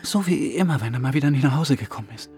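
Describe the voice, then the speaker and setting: A man acting a secretive lover and burdened accomplice in a radio play. He speaks deliberately and with control, like someone giving quiet instructions, with music clearly underneath. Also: medium pitch, lively intonation, lightly acted. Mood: urgent, controlled. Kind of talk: radio drama acting with intimate inner monologue.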